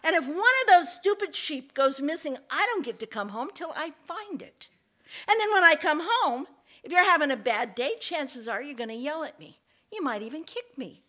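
An indignant farm dog in a storybook fable, acted by a woman, airing grievances with bitter sarcasm. The delivery is high, bright and full of exasperated swings. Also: expressive, moderate pace.

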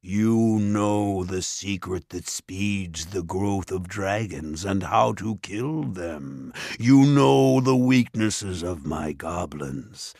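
A man voicing a hulking, menacing ogre villain in a storybook, with a deep, booming, growling voice and a commanding manner. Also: slow pace, hardly any pauses, darkish tone, no room sound, studio quality, full character voice.

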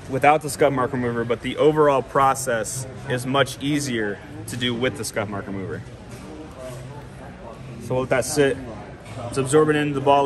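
A young man with an average-pitched voice walks through a product demonstration in a casual, plainspoken way. He explains each step as he goes. A clear murmur of people sounds behind him in a large indoor space. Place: large carpeted indoor venue. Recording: busy public room with background chatter. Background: crowd murmur.

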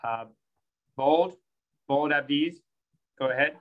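A man's voice: a little high, slow, narrow, tinny audio. A man chairing a council meeting over a video call, calling speakers in a procedural way and stumbling over names. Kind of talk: chairing public comment.

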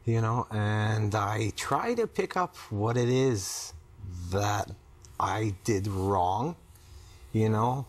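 A man in his fifties talks candidly in a low, husky voice. He goes slowly and haltingly, hesitating as he finds his words. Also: expressive. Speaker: client in couples therapy interview. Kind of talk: candid personal conversation. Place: small indoor TV studio living-room set.

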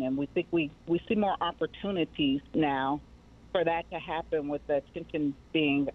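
A middle-aged woman giving personal answers as an interview guest over a remote call. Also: low-pitched. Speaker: guest, mental health advocacy director. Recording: tinny, phone-like band-limited line.

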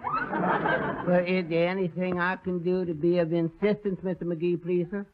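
An actor playing a good-natured, simple, deferential neighbor who drawls, chuckles and muddles words in a 1930s radio comedy. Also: male voice, high-pitched, wildly swooping pitch, a little slow, heavily acted. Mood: giggly, deferential. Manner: drawling, malapropisms, frequent chuckling. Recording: muffled 1930s radio recording.

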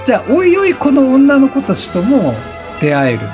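Animated high-voiced man commentating a game. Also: music in the background. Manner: exclamatory, repeats words in rapid bursts; laughs often while talking. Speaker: let's play host. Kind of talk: excited gameplay commentary.

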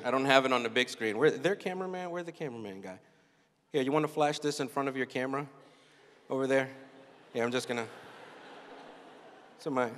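A man in his thirties giving an animated, story-filled keynote talk to a youth conference crowd on a handheld mic. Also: mid-range voice. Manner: animated, storytelling, acts out quotes. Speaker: keynote speaker. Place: large dark arena stage before a young crowd. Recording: long hall reverb.

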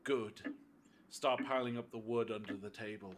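An actor playing a cold, menacing captor in an audio drama, taunting and deliberate in a theatrical way. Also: male voice, lowish voice, fairly even intonation, heavy background noise, close to an everyday voice. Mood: commanding. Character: menacing cold male captor interrogating a prisoner. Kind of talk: fan audio drama acting.

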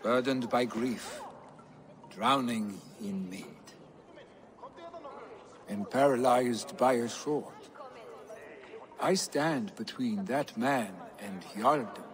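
An actor playing a brash, heartfelt young Viking warrior in a video game cutscene, speaking earnestly and deliberately. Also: male voice, mid-range voice. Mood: brooding.

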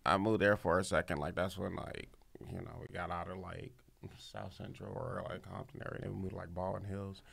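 Man, 30s, from LA, gravelly, bright tone, casual studio mic chat. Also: low-pitched, level tone, fairly quick. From Los Angeles. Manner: laid-back, slangy, trails off into mumbles. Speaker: comedian guest. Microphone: boom mic on arm.

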